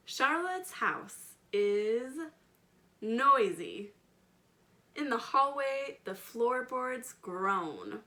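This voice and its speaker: Bright-voiced woman reading to children. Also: on the high side, sing-song, extremely slow. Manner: addresses children directly with questions and simple words. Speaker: storytime reader and children's educator. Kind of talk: children's picture book read-aloud with chatty asides to young listeners.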